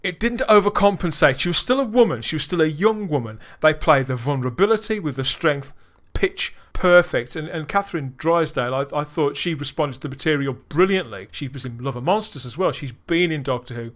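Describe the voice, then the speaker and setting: Brief: male podcast host, slightly higher voice, keen and chatty, keeps asking the others. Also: expressive, a little fast, hardly any pauses, a bit bright. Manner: enthusiastic, drawing in co-hosts with tag questions. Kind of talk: casual review discussion.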